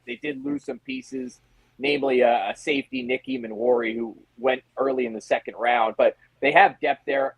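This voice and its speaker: Male sports reporter, interview guest. Gravelly and rough-edged, conversational, dry recording. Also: mid-range voice, no echo, rough recording. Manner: conversational, talks in acted-out questions and asides. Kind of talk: casual sports analysis in an interview.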